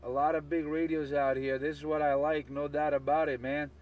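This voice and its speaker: A man's voice: on the high side, little pitch movement, fairly quick, darkish tone. A man chatting on CB radio, chanting repeated phrases and call signs. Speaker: CB radio operator. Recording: noisy CB radio, partly far from mic.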